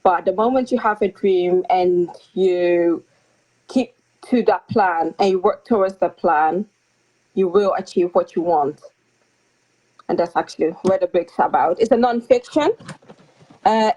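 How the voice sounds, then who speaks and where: A young woman from the Netherlands, a guest author speaking softly and hesitantly with frequent ums in a casual interview over a video call. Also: mid-range voice, measured pace, long pauses. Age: young adult. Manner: soft-spoken, hesitant with frequent ums and light laughs. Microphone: video call audio. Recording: slightly dull lo-fi call audio.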